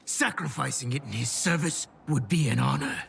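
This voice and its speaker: An actor playing a fiercely loyal, solemn and defiant rebel warrior in a video game cutscene. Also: male voice, medium pitch, moderate pace, vocal fry, background noise. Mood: proud defiance.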